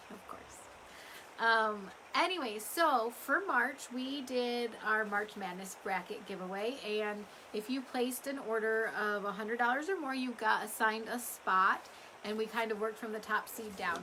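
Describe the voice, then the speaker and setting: A woman's voice: mid-range voice, moderate pace, sharp tone, slight background noise, poor audio. A woman chats casually and ramblingly to customers on a livestream, her voice a little strained from talking. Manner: rambling, chatty. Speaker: small business owner hosting a live update.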